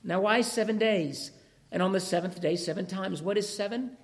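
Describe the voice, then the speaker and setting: A man preaching a sermon through a scripture passage, losing his voice, with a measured manner and rhetorical questions. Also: high voice.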